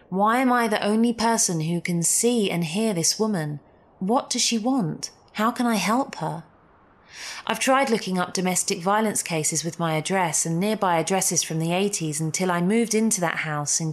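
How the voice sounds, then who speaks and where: A woman reading a first-person story as a scripted narrator. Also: mid-range voice. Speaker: narrator reading listener stories.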